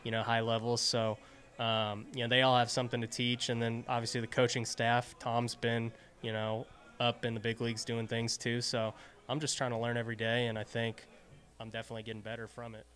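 A man's voice: mid-range voice, monotone, fairly quick, fairly bright tone. A young man, a baseball infielder, answering post-game questions in an earnest, modest way into a handheld mic. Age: young adult. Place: indoors in front of a sponsor backdrop. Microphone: handheld interviewer mic.

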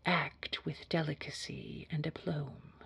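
A woman performs a menacing female boss for an audio roleplay. Her voice is deep and very husky, nearly all breath, and she takes her time. She chooses formal, precise words with cold condescension. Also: steady tone, slow, fairly bright tone, dry recording, in a whisper, exaggerated voice. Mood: stern, instructive. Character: scary, condescending female boss at a secretive organization. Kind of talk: scripted audio roleplay drama.

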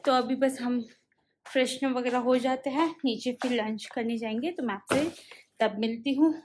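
A chatty young woman with a slightly high voice, talking to her followers as she films herself on a phone at arm's length. Clear background noise sits under the outdoor recording. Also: fairly even intonation, moderate pace, a little mellow. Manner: chatty, addresses viewers directly. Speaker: vlogger. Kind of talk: casual travel vlog. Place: outdoors at a hill resort. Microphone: phone held at arm's length.

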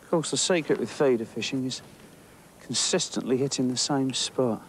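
A man's voice: medium pitch, normal speed, slight background noise. A middle-aged man with an English accent, talking enthusiastically to camera as he fishes and explores the bank of a lake outdoors. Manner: chatty and enthusiastic, thinking aloud with small restarts. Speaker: angling presenter. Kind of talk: on-location nature commentary while fishing.

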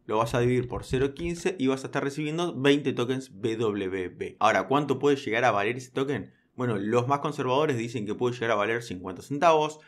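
Argentine man explaining crypto steps. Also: mid-range voice. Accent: Argentine Spanish. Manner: quick, direct second-person explainer. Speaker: crypto YouTuber. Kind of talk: screen-recorded tutorial.

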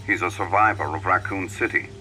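An actor playing a cold, commanding middle-aged villain boss in a video game, giving clipped, menacing orders over a radio transmission. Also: male voice, deep voice, steady tone, brisk, sharp tone, faint music behind. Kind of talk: video game cutscene acting.

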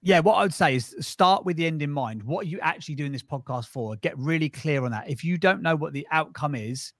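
A quick, energetic man in his thirties talks through his advice in a blunt, animated way. His voice sits close and dry on a studio mic in a small foam-lined room. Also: a little high, no echo. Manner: animated, direct, advice-giving. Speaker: podcast agency founder, guest. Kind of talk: casual interview chat. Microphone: studio mic on arm, headphones.

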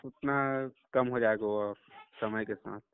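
Male caller, patient in a phone consultation, clean smooth tone, dry telephone sound. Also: fairly low pitch, steady tone, takes it slowly, no echo. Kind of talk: phone conversation. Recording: tinny phone call recording.

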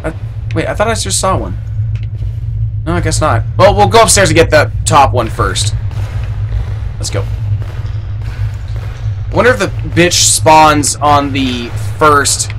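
Young man yelling through a horror game. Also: a little high, animated, unhurried, long pauses, soft background music, low-quality recording. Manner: swears a lot, yells in panic. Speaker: streamer. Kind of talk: live horror game commentary. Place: small room indoors. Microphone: headset.

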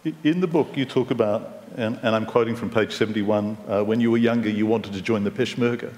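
A man interviewing a guest on stage who reads passages aloud in a steady, earnest voice. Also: on the low side, a little fast. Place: live event in a hall. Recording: distant mic in a reverberant hall.

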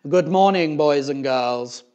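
A man voicing a loud, officious school principal making emphatic announcements in a children's storybook. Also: on the high side, slow, clear, resonant tone, lo-fi sound. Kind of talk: children's storybook character reading.

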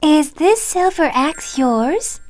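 A woman voicing a gentle female water sprite in a storybook, speaking softly and slowly. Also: high-pitched, lively intonation, voice pushed up.

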